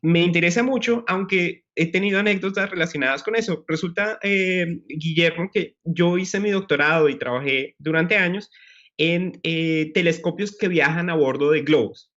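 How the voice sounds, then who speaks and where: A man, a scientist guest, explaining science in a measured way during a live news chat. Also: fairly high pitch, a bit bright. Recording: muffled broadcast audio.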